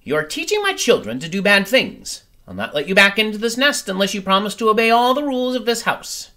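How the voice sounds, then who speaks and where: A storybook reader voicing a strict, bossy mother bird who scolds in a shrill, raised voice. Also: very animated, fairly quick, bright, forceful tone. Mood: scolding.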